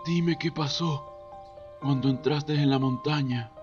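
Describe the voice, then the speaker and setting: An actor playing a patient, concerned, fatherly man who talks in a measured, formal way in a Spanish fan dub. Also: on the high side, fairly even intonation, natural voice. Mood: concerned, pleading. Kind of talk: Spanish fandub voice acting. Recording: dull lo-fi audio, music behind.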